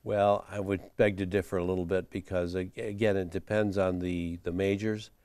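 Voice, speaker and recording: Deep-voiced man, formal and measured. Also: level tone, darkish tone, no echo. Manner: measured, formal, many fillers and restarts. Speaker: college administrator guest. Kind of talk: studio interview answers.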